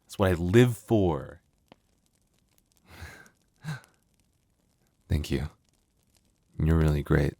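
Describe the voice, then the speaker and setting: Deep, close-up playful werewolf boyfriend acted by a man. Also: lively intonation, dark tone, no room sound, full character voice. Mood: teasing, playful. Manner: conversational, teasing, halting when flustered. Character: playful, devoted young male werewolf boyfriend, soft-hearted and teasing. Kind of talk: intimate boyfriend roleplay ASMR audio.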